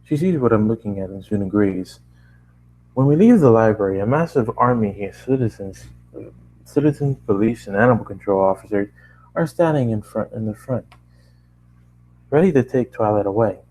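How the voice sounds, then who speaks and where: A man's voice: lowish voice, animated, moderate pace, a little mellow, a little hiss or hum, rough recording. A man narrating a first-person fan fiction story aloud, often stumbling and repeating phrases as he reads.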